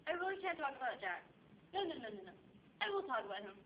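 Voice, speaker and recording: A woman's voice: very animated, fast, roomy sound. A woman performing a comic stage monologue as a chatty, flighty, very young divorcee with dramatic, gushing delivery. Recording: dull, noisy amateur recording with low rumble.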